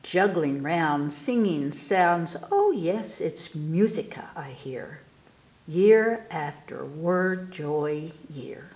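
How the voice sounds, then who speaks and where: A woman reading children's poems aloud at storytime, expressively and with careful, animated delivery for young listeners. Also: sits low, echoey room. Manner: animated, unhurried, enunciating for children. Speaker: library storytime reader. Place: indoors in a room, book filmed on table.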